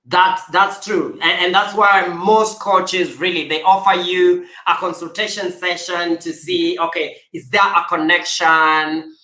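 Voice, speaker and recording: A man's voice: high-pitched, flat delivery, unhurried, bright, forceful tone, echoey room, poor audio. A man hosting a coaching conversation, speaking earnestly and urging listeners to take action. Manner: earnest, motivational, with emphatic exhortations. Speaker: life coach host.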